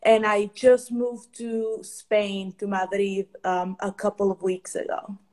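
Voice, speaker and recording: Guest: woman from Ecuador, football consultant; measured, hesitant delivery with restarts. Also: medium pitch, flat delivery, a little mellow. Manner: thoughtful, many ums and restarts. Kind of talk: interview answers.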